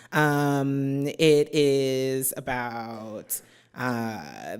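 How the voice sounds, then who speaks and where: An American woman from New Jersey giving a poetry reading, chatting through her introductions with many fillers and reading the verse slowly and with care. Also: deep voice. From New Jersey, USA. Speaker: poet. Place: university symposium venue.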